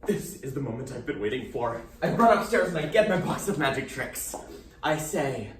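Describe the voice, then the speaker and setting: A man's voice: medium pitch, sing-song, normal speed, close to an everyday voice. A man performing a theatrical solo monologue as a needy, troubled teenage boy magician who delivers showman patter and swings abruptly between coy and explosive. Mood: confiding, eager. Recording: roomy, distant mic, faint noise.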